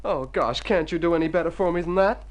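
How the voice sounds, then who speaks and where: A man's voice: on the high side, fast talker, natural voice. A man playing a rich, personable young hypochondriac in a radio drama, exclaiming and stammering theatrically. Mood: anxious, flippant. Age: young adult. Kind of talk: mid-century radio drama acting. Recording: old radio recording with music.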